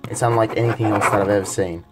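An actor playing a weary, embittered special agent in a modern radio play, blunt and exasperated. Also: male voice, on the low side, steady tone, racing, over music, lightly acted. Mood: weary, shaken.

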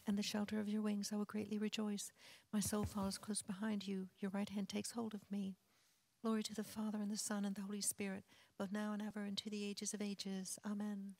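A woman reading psalms and doxologies in a flat, even liturgical chant. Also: mid-range voice, low-quality recording. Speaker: liturgical reader.

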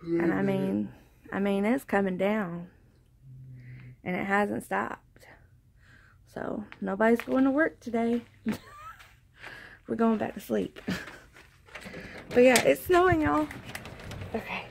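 A woman's voice: mid-range voice, takes it slowly, creaky, long pauses, a little hiss or hum. A woman with a Southern Alabama accent chatting casually and with amusement while filming outdoors on her phone. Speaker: vlogger. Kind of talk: casual video diary. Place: outdoors in a snowy yard, at home. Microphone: phone camera held while filming.

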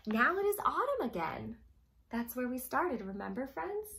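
A young adult woman reading a picture book aloud to children as a storytime host, in an expressive manner that speaks directly to young listeners. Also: sits high, normal speed, slight background noise. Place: small room at home, indoors.

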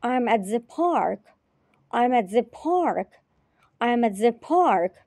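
A woman teacher repeating short phrases slowly and carefully in a repetition drill. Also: on the high side, sing-song, a little mellow, no echo. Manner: repeats each phrase three times, carefully.

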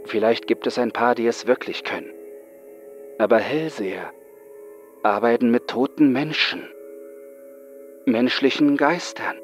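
A man acts out a young male psychiatric patient in a horror story. He is bitter, frightened and haunted, speaking with intense dramatic pauses over music. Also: medium pitch, voice pushed up, natural voice. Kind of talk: horror audiobook acting.

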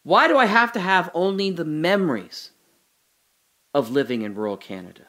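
A man's voice: on the high side, animated, normal speed, bright, forceful tone. An actor playing a man alone in a holding cell who talks through his doubts about his memories in an audio drama monologue, musing and questioning, turning from wry to earnest.

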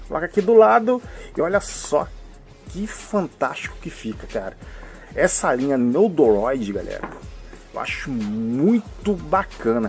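A man with a mixed São Paulo and Rio accent, chatting through a casual toy review, full of tag questions and cheerful asides. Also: mid-range voice. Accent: mixed São Paulo and Rio de Janeiro Brazilian Portuguese. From Brazil. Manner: chatty, fills with "né?" and "hein?", enthusiastic.

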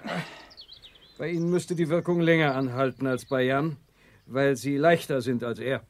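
An actor playing a careful scientist, a professor, in a science fiction radio play. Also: male voice, medium pitch, normal speed, natural voice. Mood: calm, careful.

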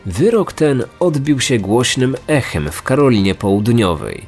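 Male podcast narrator, medium pitch, scripted documentary delivery, light music underneath. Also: very animated, unhurried, a little mellow. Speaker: true crime podcast host.

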